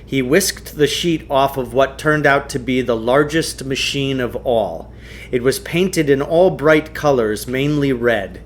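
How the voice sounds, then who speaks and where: A man reading a storybook aloud as narrator, in a deliberate, expressive way. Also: medium pitch. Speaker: teacher reading a book aloud.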